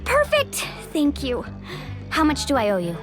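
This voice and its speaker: An actress playing an earnest, caring young heroine in a game, polite in manner. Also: female voice, high-pitched, wildly swooping pitch, unhurried, heavily acted. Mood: polite, eager. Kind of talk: scripted game dialogue. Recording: music behind the voice.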